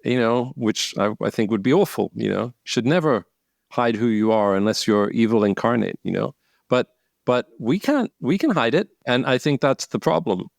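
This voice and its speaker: A man's voice: medium pitch, lively intonation, normal speed, vocal fry, dry recording, clean studio sound. A man talking bluntly and emphatically as an interview guest, swearing for emphasis. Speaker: guest speaker. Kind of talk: conversational interview.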